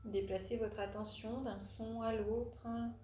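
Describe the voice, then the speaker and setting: A woman leading a guided relaxation as a yoga nidra instructor, speaking haltingly with long pauses and frequent hesitations. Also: on the high side, level tone, warm tone. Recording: roomy echo, faint background noise.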